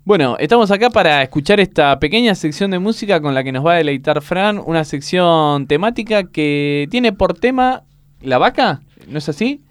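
Clear, smooth Argentine man on comedy radio. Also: a little high, lively intonation, moderate pace, dry recording. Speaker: radio comedy show host. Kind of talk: casual radio banter and segment presenting.

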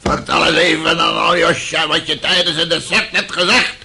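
A boisterous, mocking old man in a radio drama. His voice is fairly high for a man and piercingly sharp, with a creaky gravel to it. He sounds drunken and coarse, full of jeers and interjections. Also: level tone, moderate pace, full character voice. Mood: boisterous teasing. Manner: chuckling, jeering, peppered with interjections.

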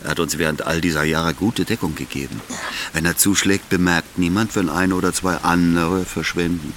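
An actor playing a smug, menacing Soviet party boss in a German radio drama, with a mocking, condescending and unhurried delivery. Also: male voice, low voice, steady tone, a bit bright, slight background noise. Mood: smug, boastful.

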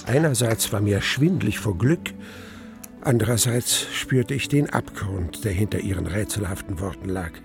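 An actor playing a wistful man recounting his past love as narrator of a German radio play, in measured, literary standard German. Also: on the low side, creaky, over music. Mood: reflective.

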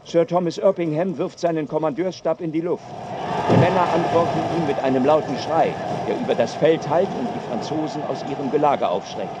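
A man's voice: on the high side, a little fast, heavy background noise. A man narrating a radio drama as a scripted, present-tense battle report.

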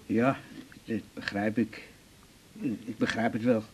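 Husky, awkwardly friendly male patient. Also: fairly low pitch, steady tone, takes it slowly, a little mellow, exaggerated voice. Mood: bluff, resigned. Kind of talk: naturalistic radio drama acting.

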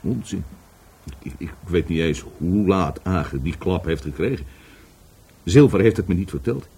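Husky, stammering, volatile businessman under questioning. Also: low-pitched, lively intonation, normal speed, warm tone, exaggerated voice. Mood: defensive, wry. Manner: stammering, repeating words, rambling. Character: evasive, volatile middle-aged businessman questioned by police. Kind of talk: Dutch radio play acting.

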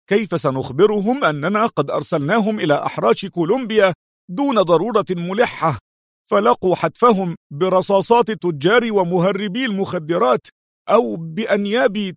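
A man's voice: medium pitch, dry recording, clean studio sound, natural voice. Bitter, indignant intelligence chief, acted by a male narrator. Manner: bitter, terse. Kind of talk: dramatised audiobook storytelling.

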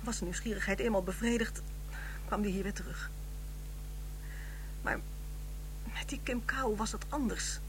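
A woman's voice: mid-range voice, normal speed, pauses often, background noise, lightly acted. An actress playing a proud, bitter widow in her fifties, curt and formal, in a radio drama. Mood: bitter, agitated. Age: 50s.

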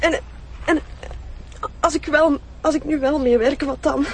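An actress playing a frightened, cornered young drug user turned informant in a screen drama, stammering in a Flemish accent. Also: female voice, high voice, fairly even intonation, unhurried, a little hiss or hum. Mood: frightened, distraught. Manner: stammering, repeating words.

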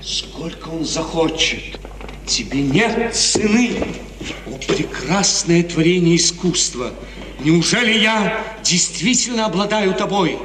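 Ardent high-voiced young prince. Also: male voice, animated, takes it slowly, noisy background. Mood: rapturous. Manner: theatrical, rapturous, repeats phrases emphatically. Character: ardent, imperious young prince, lovestruck and impatient. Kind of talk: 1950s Soviet radio theatre acting. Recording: roomy, distant 1950s radio recording.

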